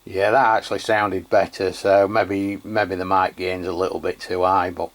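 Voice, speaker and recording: A man's voice: low voice, little pitch movement, normal speed. A man with an English accent chatting casually as a radio reviewer, in a hesitant, rambling, matey way. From England. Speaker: radio review channel host. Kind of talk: casual radio chat and product testing.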